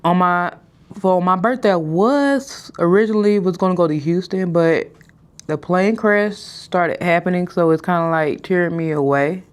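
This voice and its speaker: Woman, thirties, casual vlog chat, slight stutter, slows down to get words out, indoor desk setup. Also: on the low side, clear, resonant tone. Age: 30s. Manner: stutters and mispronounces words, talks slowly on purpose. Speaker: vlogger. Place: hotel room, indoors.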